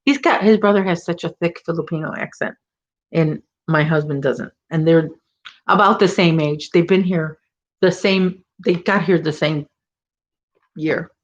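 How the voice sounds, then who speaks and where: A woman's voice: fairly low pitch, a little slow, highs cut off. A woman with a Chicago accent hosting a casual crochet livestream from home, chatting and teasing while she works. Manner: chatty, reads viewer comments, teasing.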